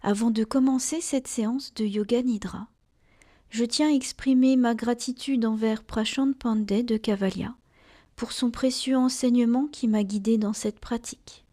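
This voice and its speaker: Female meditation guide: soft, breathy near-whisper, dark and relaxed tone, soothing short phrases with long pauses. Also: a little high. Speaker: yoga nidra guide.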